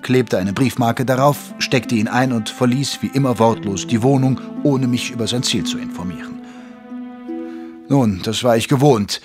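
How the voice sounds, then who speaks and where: An ageing Victorian doctor and loyal companion, with a husky edge to his mid-pitched voice. He tells his detective tale in measured, literate phrases with dry wryness, in audio drama style. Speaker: narrator and character in an audio drama. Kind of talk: German audio drama narration and dialogue.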